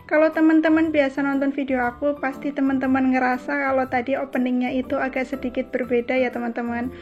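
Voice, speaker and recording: A woman's voice: high voice, flat delivery, quick pace. A woman from Cilacap in Indonesia with a Javanese Ngapak accent, chattily narrating a casual homemaker vlog and often addressing her viewers as friends. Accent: Javanese Ngapak (Banyumasan, Cilacap). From Cilacap, Central Java, Indonesia. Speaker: homemaker vlogger. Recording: slightly dull voice-over under music. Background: background music.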